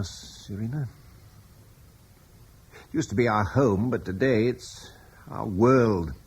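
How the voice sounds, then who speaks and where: An actor playing Julian, a steady middle-aged lord in a radio drama, speaking in a measured, thoughtful, reassuring way. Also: male voice, on the low side, slightly rough, full character voice. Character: steady older British lord, calm and reflective. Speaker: Julian, lord of a fortified estate.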